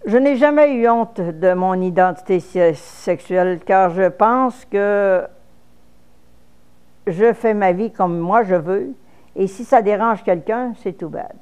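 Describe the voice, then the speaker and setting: A woman's voice: mid-range voice, slow, darkish tone, clean tone. A woman in her fifties from Quebec with a Québécois French accent, speaking frankly in a personal interview, with false starts. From Quebec, Canada.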